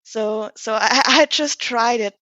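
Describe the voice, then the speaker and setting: A woman's voice: fairly high pitch, slow pace, bright, forceful tone, no room sound, muffled recording. A young woman in her late teens whose first language is German, a podcast guest speaking English in a modest, slightly hesitant way. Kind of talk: casual conversational interview.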